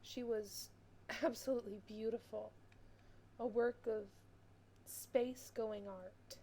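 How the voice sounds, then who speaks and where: A woman playing an earnest female space officer, narrating her story wistfully in a measured audio drama style. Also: a little high, steady tone, mellow, a little hiss or hum.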